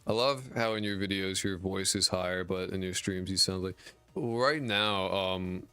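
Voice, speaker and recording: A very deep, raspy male voice, tired and frayed after hours of nonstop talking. He mumbles through a casual, rambling stream chat, filled with fillers and the odd curse. It is a dry, close desk mic with faint music underneath. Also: moderate pace, bright, forceful tone, dry recording. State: voice worn out from twelve hours of talking. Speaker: indie game dev streamer. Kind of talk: casual live-stream chat reading viewer messages. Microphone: desk mic with a high-pass filter.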